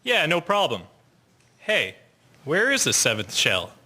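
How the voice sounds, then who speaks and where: A man's voice: fairly high pitch, huge pitch swings, a little slow, bright, forceful tone, slightly rough, exaggerated voice. A young man playing an eager airman in a historical audio drama. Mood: alarmed. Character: eager young airman, proud gun owner, later alarmed.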